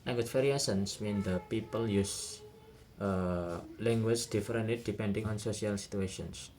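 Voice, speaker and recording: A young man with an Indonesian accent reviews a linguistics topic, pausing often with "uh" and "nah" fillers. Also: on the low side, darkish tone. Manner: hesitant, many "uh" and "nah" fillers.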